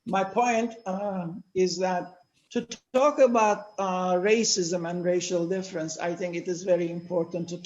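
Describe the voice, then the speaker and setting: An older man from Turkey, speaking natively, giving a measured lecture-style talk with many pauses. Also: high voice, fairly even intonation, a little mellow, roomy sound, muffled recording. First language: Turkish. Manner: measured, explanatory, with frequent "uh" pauses. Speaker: panel speaker. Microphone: video call.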